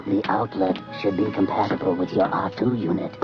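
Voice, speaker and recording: A man's voice: low voice, steady tone, brisk, lightly acted. A man voicing a polite male insect-like alien rebel agent who speaks formally. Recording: dull lo-fi 1980s record with music. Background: music.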